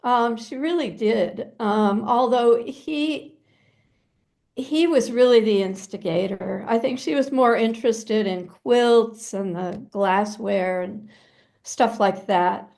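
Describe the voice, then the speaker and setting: A woman's voice: fairly high pitch, slow, creaky, darkish tone. An American woman from New Hampshire, an interview guest telling stories casually in a hesitant, reflective way. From New Hampshire, United States. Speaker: guest, novelist.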